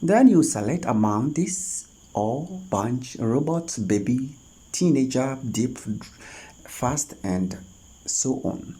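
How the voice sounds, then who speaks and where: A tutorial host talking through phone app steps one at a time, in a halting, instructional way. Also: mid-range voice, sing-song, mellow, breathy edge. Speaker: host of a tech tutorial channel. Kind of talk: spoken step-by-step screen tutorial.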